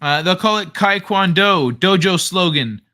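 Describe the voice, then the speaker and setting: A man's voice: high-pitched, animated, sharp tone. A man hosting a casual livestream and chatting loosely with viewers, joking and laughing often. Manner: loose and jokey, with frequent laughter and quick asides. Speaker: livestream host.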